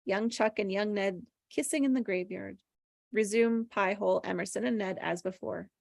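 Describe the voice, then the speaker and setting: A woman narrates a script's stage directions at a table read. Her mid-range voice has a noticeable creak, and the recording is close and dry. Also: medium pitch, moderate pace, no echo.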